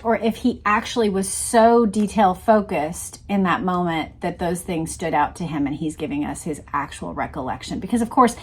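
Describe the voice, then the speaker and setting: A woman reading a book aloud and breaking off to explain and point things out to the viewer. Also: mid-range voice. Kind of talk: read-aloud narration with explanatory commentary.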